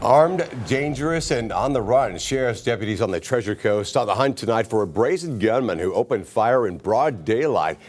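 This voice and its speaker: A man's voice: mid-range voice, lively intonation, normal speed, hardly any pauses. A man with an American accent reading scripted news as a television anchor.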